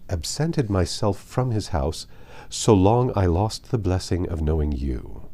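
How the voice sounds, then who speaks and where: Deep-voiced man reading a classic novel, expressive. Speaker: audiobook narrator. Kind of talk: expressive literary read-aloud of a classic novel.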